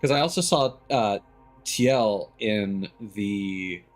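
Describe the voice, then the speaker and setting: A man's voice: on the low side, slow pace, soft background music. A man streaming a video game, thinking aloud in casual commentary that rambles through frequent ums and restarts.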